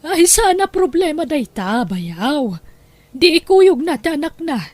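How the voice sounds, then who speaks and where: A woman playing a kindly, generous sister-in-law, talking gently and solicitously in an audio drama. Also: high-pitched, big pitch swings, unhurried, dry recording. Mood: concerned. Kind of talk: conversational audio drama acting.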